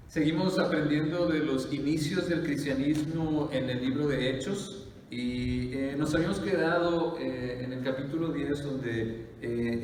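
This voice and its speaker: A pastor, preaching in a conversational style full of "eh" fillers and rhetorical questions. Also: male voice, fairly high pitch, a little hiss or hum. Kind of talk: sermon. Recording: echoey hall from far off.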